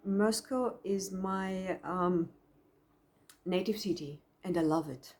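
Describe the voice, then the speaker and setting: Slow-spoken Russian-accented woman psychologist. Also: fairly low pitch, darkish tone. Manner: thoughtful, halting, with frequent pauses and restarts. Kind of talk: personal reflection to camera. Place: at home.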